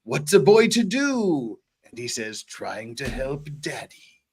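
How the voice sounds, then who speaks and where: An earnest trial lawyer, read out by a man, arguing a point to the judge in a dramatic reading. His pitch rises and falls widely. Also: big pitch swings, takes it slowly. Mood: quoting evidence. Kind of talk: dramatic reading of a court transcript.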